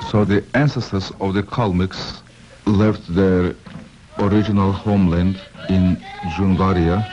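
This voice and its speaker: A man speaking deliberately in an interview, pausing mid-sentence as he recounts his people's history. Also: on the low side. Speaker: community elder interviewee. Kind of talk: slow storytelling interview. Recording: dull old film sound with music.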